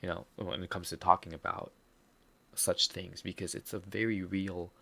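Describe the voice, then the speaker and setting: A man's voice: sits low, little pitch movement, moderate pace, vocal fry, sharp tone. A man with a Filipino accent, hosting a podcast and speaking candidly and earnestly about a personal setback, with some self-deprecating humor. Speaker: podcast host. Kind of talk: personal reflective monologue.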